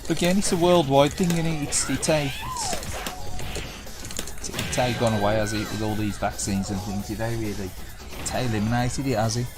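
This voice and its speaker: A man, croaky and a bit under the weather, hosting a livestream and chatting loosely with viewers. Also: medium pitch, huge pitch swings, moderate pace. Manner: rambling, chatty with restarts. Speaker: livestream host. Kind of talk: casual chat with viewers. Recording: game music playing behind.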